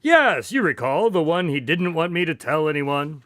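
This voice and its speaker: An actor playing an indignant, excitable detective's partner who tells stories in a radio drama. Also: male voice, a little high, moderate pace, no room sound, studio quality. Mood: chatty storytelling.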